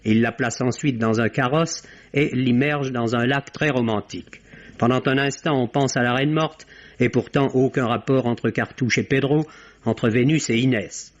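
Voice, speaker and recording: A middle-aged man reading a polished, measured film review on an old radio broadcast. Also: medium pitch, fairly even intonation. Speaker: film critic. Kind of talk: scripted spoken review. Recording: dull old radio recording.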